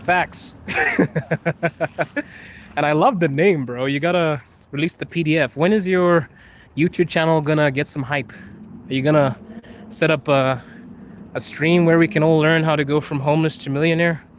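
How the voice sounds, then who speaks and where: Young zimbabwe guy streaming off his phone outside at night, kinda high voice, laughs a lot, faint street noise. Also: steady tone, moderate pace. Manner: laid-back, laughs often, playful teasing. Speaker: livestream host. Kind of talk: casual live-stream chat, reading comments. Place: outdoors on a wet street at night. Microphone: phone held while walking.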